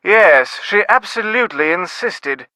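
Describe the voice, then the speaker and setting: A man's voice: high voice, lively intonation, measured pace, sharp tone, dry recording, exaggerated voice. An actor playing a snobbish, dryly sardonic middle-aged British father from an aristocratic family in a video game, wordy and dismissive. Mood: wry, reflective. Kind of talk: scripted video game dialogue.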